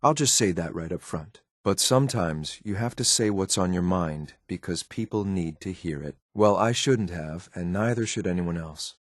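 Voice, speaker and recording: Stoic android soldier, lowish pitch, deadpan, clipped military delivery, loyal yet ruthless, video game companion, dry close studio sound. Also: male voice, normal speed, few pauses, no echo. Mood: earnest, restrained. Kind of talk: scripted game voice lines.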